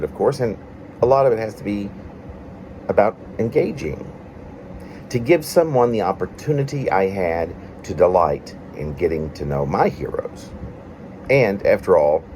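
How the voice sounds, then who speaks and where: A man reading his own confessional, prose-like poem aloud in a reflective, halting way with formal wording. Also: deep voice, very animated, mellow, a little hiss or hum, rough recording. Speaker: poet reading own work.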